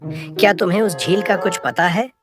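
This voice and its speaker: Casting: woman playing amiable travelling bear, cartoon fable, slightly low voice, clear music bed behind. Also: lively intonation, moderate pace, close to an everyday voice. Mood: friendly, curious. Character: friendly wandering bear, male stranger looking for a lake. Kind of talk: scripted cartoon story dialogue. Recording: music under the voice.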